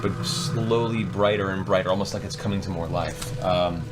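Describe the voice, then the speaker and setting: Man, low voice, theatrical game master, descriptive narration, background music. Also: steady tone, normal speed. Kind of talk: dramatic narration of a tabletop game. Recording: music behind.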